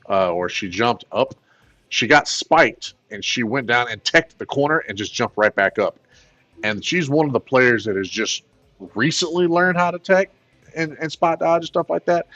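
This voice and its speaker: A man with a plain baritone voice, hosting a casual gaming livestream, rambling with many fillers and excited bursts. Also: highs cut off. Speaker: gaming channel host.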